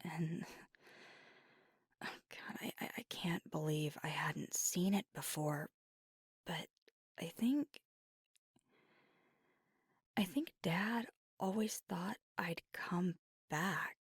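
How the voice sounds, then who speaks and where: A woman playing a weary, guarded werewolf girlfriend confiding in an intimate ASMR roleplay, in a halting, breathy near-whisper. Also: on the low side, dry recording, studio quality, heavily acted. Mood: pensive, hurt. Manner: halting, hushed, confessional, with pauses. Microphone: close microphone, dry.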